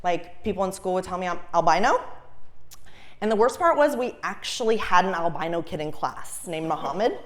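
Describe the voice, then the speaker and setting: A woman's voice: fairly low pitch, expressive, a little fast, fairly bright tone. A young woman from Ukraine doing a stand-up comedy set on stage, chatty and self-mocking, often laughing at her own jokes. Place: comedy stage before a live audience.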